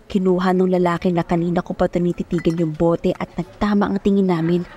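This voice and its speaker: A woman's voice: fairly low pitch, little pitch movement, fairly quick, dark tone, husky. A young woman narrating an audio romance serial in the first person, as a dramatic storyteller. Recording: music bed under the voice.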